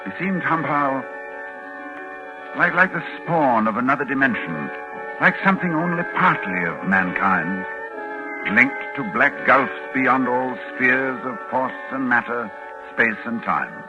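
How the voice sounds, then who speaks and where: An actor playing a dignified, fearful university scholar, with dramatic educated delivery in a 1940s radio horror drama. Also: male voice, medium pitch, big pitch swings, measured pace, highs cut off. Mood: haunted, dramatic. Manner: dramatic, educated, hesitant when alarmed. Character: learned male university librarian, dignified, appalled and fearful. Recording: band-limited 1940s radio recording with music.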